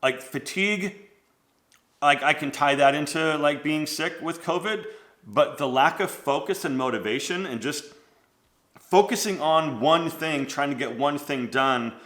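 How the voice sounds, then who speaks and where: A man's voice: fairly high pitch, unhurried, a bit bright, reverberant. A man in his forties with a stuffy nose from a cold, hosting a casual vlog and rambling through his thoughts with a lot of "like" and restarts. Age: 40s. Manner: rambling, self-interrupting, lots of "like". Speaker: fashion channel host.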